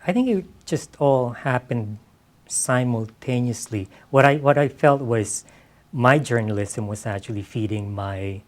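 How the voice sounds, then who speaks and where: A man's voice: medium pitch, a little slow. A middle-aged man from the Philippines, a writer talking casually and reflectively at a live event. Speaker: writer, interview guest. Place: live public literary event.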